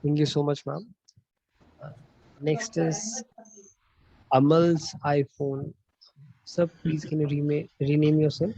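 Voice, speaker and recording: A man's voice: a little high, level tone, slow, vocal fry, long pauses, mellow. A man hosting an online training session, politely calling on participants and moderating their introductions in an informal way. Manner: polite, addresses people as sir and ma'am. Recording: dull online meeting audio.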